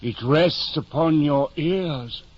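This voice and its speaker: An actor playing a timid, plain-spoken squire in an old radio drama, doubtful but obedient, with a hesitant, deferential way of talking. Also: male voice, on the high side, slow pace, husky. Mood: meek. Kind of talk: 1950 old time radio drama acting. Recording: muffled noisy 1950s radio recording.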